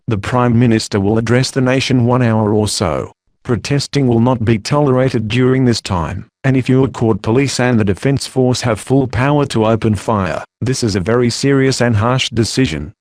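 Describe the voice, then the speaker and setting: A man's voice: medium pitch, darkish tone, no echo. Man reading a formal emergency alert, dry sound. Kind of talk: scripted emergency broadcast announcement.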